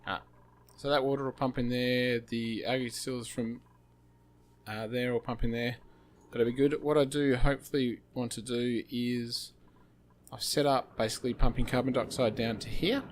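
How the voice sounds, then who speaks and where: A slightly hoarse man hosting a gaming channel, thinking aloud with many fillers as he plays. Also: medium pitch, steady tone, normal speed. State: slightly hoarse, losing his voice. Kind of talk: casual gameplay commentary.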